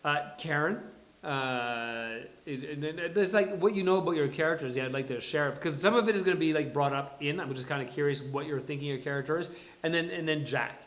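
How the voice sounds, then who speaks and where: A man's voice: a little high, fairly quick, fairly bright tone. A man hosting a casual panel chat, rambling and jokey as he goes. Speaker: host. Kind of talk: casual panel chat before a live table read. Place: live event room with a small audience. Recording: echoey room.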